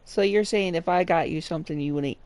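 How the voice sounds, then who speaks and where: A man's voice: a little high, brisk, darkish tone. A man in his twenties with a young-sounding voice, chatting in a laid-back way while gaming. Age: 20s. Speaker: co-player. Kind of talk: casual chat while gaming.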